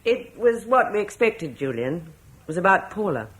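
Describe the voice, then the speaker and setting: An actress playing a caring, motherly Roman wife, soothing and gentle in a vintage radio drama. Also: medium pitch, big pitch swings, moderate pace, breathy edge.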